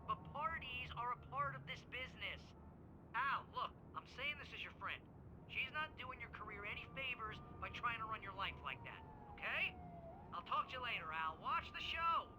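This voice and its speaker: A man's voice: very high-pitched, animated, moderate pace, background noise. A man voicing a pushy, jovial friend and agent leaving a brash, familiar, wheedling answering machine message in a video game. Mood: cajoling. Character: loud, pushy, jovial male buddy and agent.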